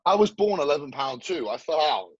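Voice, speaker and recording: A man's voice: fairly high pitch, very animated, fairly quick, dull audio. A man in his twenties co-hosting a casual podcast chat, telling stories animatedly with plenty of swearing. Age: 20s. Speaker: podcast co-host.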